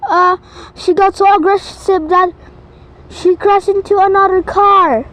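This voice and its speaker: A woman playing a panicked emergency caller in an amateur skit, halting and repeating words. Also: extremely high pitch, steady tone, fairly bright tone, low-quality recording.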